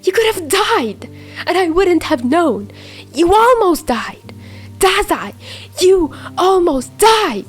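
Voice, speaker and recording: A woman voicing a distraught young man who shouts hurt, pleading accusations in a read-aloud story. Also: extremely high pitch, sing-song, slow, few pauses, faint music behind, pitched above the performer's own voice, heavily acted. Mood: anguished anger.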